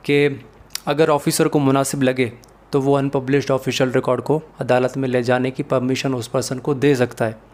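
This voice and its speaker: A man's voice: mid-range voice, a little mellow. A man with a Pakistani accent giving a methodical explanatory lecture, mixing in English legal terms and setting out points one by one. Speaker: law lecturer.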